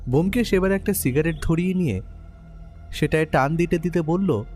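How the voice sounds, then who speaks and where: An actor playing a detective's curious, slightly anxious friend, telling the story expressively. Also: male voice, fairly high pitch, brisk, darkish tone, natural voice. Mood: narrating. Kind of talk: dramatised first-person story narration. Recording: background music under the voice.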